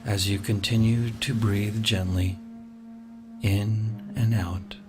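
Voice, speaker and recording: A man with a deep, low voice leads a guided sleep meditation. He talks slowly and soothingly, leaving long pauses between his gentle instructions. Soft music plays clearly underneath him. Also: level tone.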